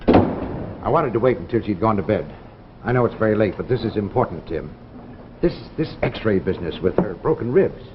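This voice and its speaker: An actor playing a grave, insistent doctor, speaking emphatically with dramatic pauses in 1950s radio drama style. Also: male voice, lowish voice, animated, lightly acted. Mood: grave, urgent. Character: grave, insistent male doctor confiding in his friend. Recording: dull, noisy vintage radio recording.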